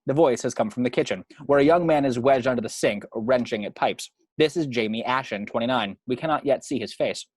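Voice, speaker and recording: A man with a mid-pitched voice narrates the action of a screenplay. He reads scene headings and descriptions as scripted narration. The sound is close and dry, without room echo. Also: a little fast, few pauses, darkish tone, slightly rough.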